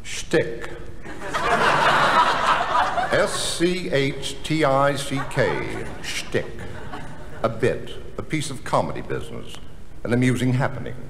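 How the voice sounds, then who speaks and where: Slow, gravelly deadpan male announcer. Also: medium pitch. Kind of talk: mock-dictionary comedy narration. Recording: very noisy 1960s comedy record, hall echo.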